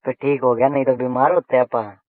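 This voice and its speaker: A man's voice: medium pitch, little pitch movement, brisk. A man chatting casually and intimately on a recorded phone call, colloquial and teasing, often repeating his phrases.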